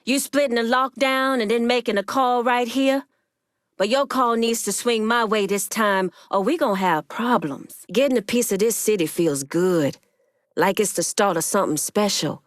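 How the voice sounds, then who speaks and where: A woman playing a commanding, wary female gang leader in a dramatic video game, speaking deliberately and sternly with folksy turns of phrase. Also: medium pitch, no echo, clean studio sound, natural voice. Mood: firm, warning, then hopeful, proud.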